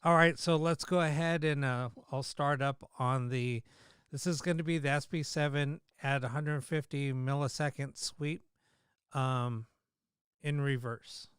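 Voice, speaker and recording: A man hosting a paranormal livestream, chatting casually with many fillers and restarts, his voice slightly hoarse. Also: mid-range voice, fairly even intonation, unhurried, fairly bright tone, no room sound, clean studio sound. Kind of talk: casual live-stream chat.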